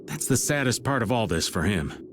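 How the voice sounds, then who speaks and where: Wry older rogue for a game, male, theatrical folksy drawl, very animated pitch, acted by a man. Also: normal speed, noisy background, natural voice. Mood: musing. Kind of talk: English video game voice acting.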